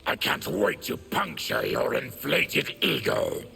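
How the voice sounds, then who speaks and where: A gravelly, scornful warrior. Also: male voice, medium pitch, sing-song, takes it slowly, heavy background noise, exaggerated voice.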